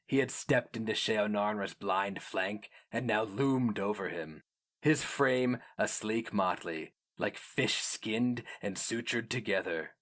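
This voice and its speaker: A man narrating a fantasy story as a dramatic reading, grave, measured and theatrical. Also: fairly low pitch, fairly bright tone. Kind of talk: dramatic scripted fiction narration.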